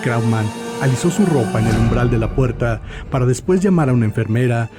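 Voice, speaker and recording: A man reading scripted literary narration for a dark fantasy audio story. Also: mid-range voice, brisk. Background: faint music.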